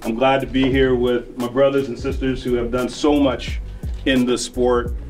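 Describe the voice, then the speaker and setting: A man's voice: mid-range voice, little pitch movement, moderate pace, darkish tone, soft background music. A man who is a rowing coach, giving a heartfelt speech to students.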